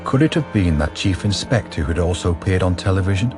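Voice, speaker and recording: A man's voice: low-pitched, animated, fast talker, over music. A man narrating a radio crime drama in a scripted, storytelling style.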